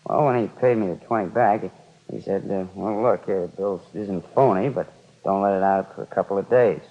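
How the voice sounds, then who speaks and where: A man's voice: sits low, fairly quick. A man playing an uneasy American petty thief under questioning in a 1950s radio drama, hesitant, colloquial and defensive. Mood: reluctant. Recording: dull, reverberant vintage radio recording.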